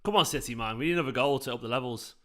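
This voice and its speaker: Bright-voiced South Manchester man chatting. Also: medium pitch, racing. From South Manchester, England. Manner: chatty, rambling, talks to viewers. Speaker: fan channel host. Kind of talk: live football watchalong chat.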